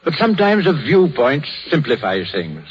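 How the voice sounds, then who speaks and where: A fatherly old clergyman with a British accent and a husky voice. He speaks in measured, solemn phrases, like gentle preaching, on a dull 1930s radio drama recording. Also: fairly high pitch, big pitch swings. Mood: solemn, philosophical. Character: crippled old minister, gentle, philosophical, fatherly.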